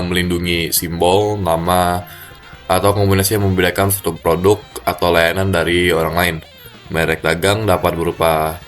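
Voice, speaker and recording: A man with a stuffy nose giving a class presentation in a cold room, speaking haltingly with many fillers. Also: sits low, a bit bright. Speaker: student presenter.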